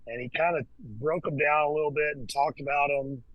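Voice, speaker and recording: Relaxed man with very dry sound. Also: on the high side, fairly quick, no room sound, dull audio. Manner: relaxed, hesitant, with many fillers and restarts. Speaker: podcast guest, Freemason. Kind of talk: casual conversation.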